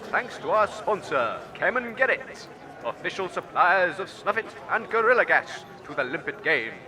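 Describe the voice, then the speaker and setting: Pompous master of ceremonies, high wildly swooping voice, grandiose drawn-out delivery, noisy crowd. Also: male voice, sing-song. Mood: grandiose, rousing. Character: pompous male master of ceremonies at wasteland games. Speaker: event announcer. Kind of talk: showy ceremonial announcing. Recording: noisy outdoor crowd behind announcer.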